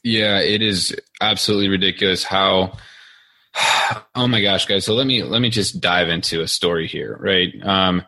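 A man's voice: sits low, moderate pace, sharp tone, no room sound. A man in his thirties who grew up in Ukraine, a company CEO telling his story in a casual podcast interview, chatty with many fillers and restarts.

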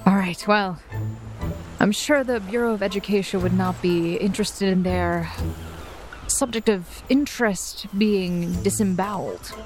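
A woman's voice: mid-range voice, music in the background. A woman playing a tabletop roleplaying game, slipping in and out of character in a playful, chatty way. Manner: playful, chatty, quick to laugh at herself. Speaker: player.

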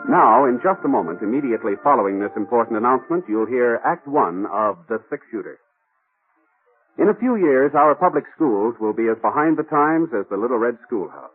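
A man's voice: mid-range voice, expressive, a little fast, husky. Male American announcer, formal 1950s radio delivery, thin narrow-band sound, faint music behind. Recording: tinny old radio broadcast, faint music.

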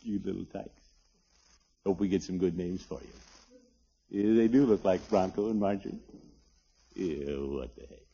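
An actor playing a blustery but soft-hearted middle-aged family man in a 1950s radio sitcom, muttering and blustering. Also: low voice, moderate pace, creaky, long pauses, slightly rough, full character voice. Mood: tender. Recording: muffled old 1950s radio recording.

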